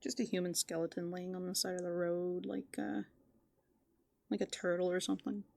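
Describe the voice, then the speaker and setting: A soft-spoken woman doing rambling, playful ASMR as a raccoon, musing aloud and often slipping into whispers. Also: fairly low pitch, flat delivery, normal speed, vocal fry. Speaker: ASMR host. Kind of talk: furry roleplay ASMR, improvised rambling.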